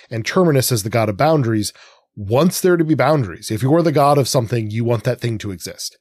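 A man's voice: lowish voice, darkish tone, dry recording. A man co-hosting a podcast, discussing literature in a discursive way, thinking aloud as he goes. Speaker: podcast co-host. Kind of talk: literary discussion of comics and mythology.

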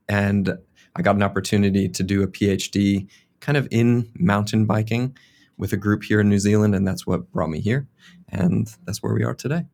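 An American man in his thirties, a sports scientist answering interview questions in a relaxed, casual way with frequent fillers. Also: on the low side, gravelly. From United States. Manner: casual, uses many fillers like "like" and "kind of".

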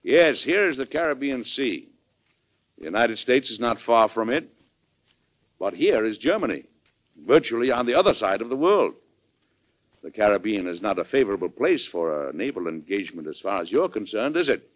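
Firm American statesman, lowish voice, emphatic and blustery, old radio play with muffled lo-fi sound. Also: big pitch swings, normal speed. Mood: firm, confronting. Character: resolute, blustery American president, firm and brisk. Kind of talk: old-time radio drama acting. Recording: dull old radio broadcast recording.

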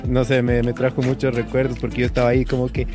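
A man co-hosting a podcast in an excitable, rambling, casual way. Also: medium pitch, fast, faint music behind. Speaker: podcast co-host.

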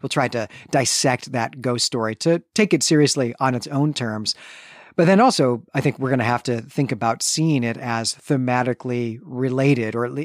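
A man's voice: mid-range voice, dry recording, studio quality. A man co-hosting a podcast, discussing a book in a thoughtful, analytical and conversational way with some emphasis. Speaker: podcast co-host.

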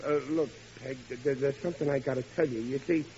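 A man's voice: medium pitch, fairly even intonation, fast talker, darkish tone, natural voice. Man acting a flustered husband on muffled old radio. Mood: guilty, stammering. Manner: stammering, flustered, folksy. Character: bumbling, eager, henpecked husband, easily flustered. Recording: muffled, hissy vintage radio broadcast.

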